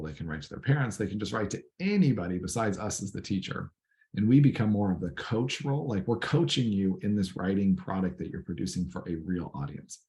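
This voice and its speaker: A man with a raspy, hoarse voice giving a recorded lecture to student teachers, clearing his throat now and then. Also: deep voice, darkish tone. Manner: clears throat often, measured explaining tone. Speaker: teacher educator.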